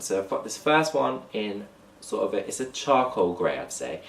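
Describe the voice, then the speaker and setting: Nasal young man, low and echoey. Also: far from the mic. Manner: chatty, talks to camera while holding up clothes. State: blocked nose, slightly nasal. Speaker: fashion vlogger. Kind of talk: casual haul vlog. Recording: echoey room, voice off-mic.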